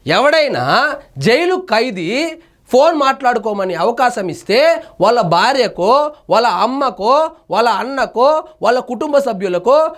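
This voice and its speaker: A man presents news analysis. He moves between reading reports and offering pointed commentary. His delivery is emphatic and indignant, leaning on rhetorical questions. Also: very high-pitched.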